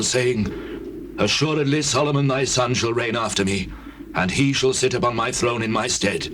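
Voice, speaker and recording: An actor as an aged king of Israel, weary and solemn, issuing stately biblical lines in a dramatized scripture reading. His mid-pitched voice sits in the clear noise of an old cassette recording. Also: lightly acted.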